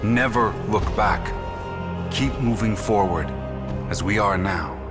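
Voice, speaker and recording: A solemn, steadfast old warrior. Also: male voice, fairly low pitch, over loud music. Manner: solemn, measured. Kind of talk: video game dub.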